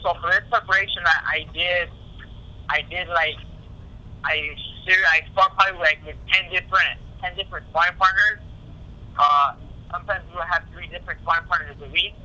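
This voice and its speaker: A man speaking as a boxer in a casual phone interview, halting and repeating himself, with frequent "you know". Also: sits high, steady tone, sharp tone. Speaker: professional boxer being interviewed. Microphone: telephone call. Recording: tinny phone line.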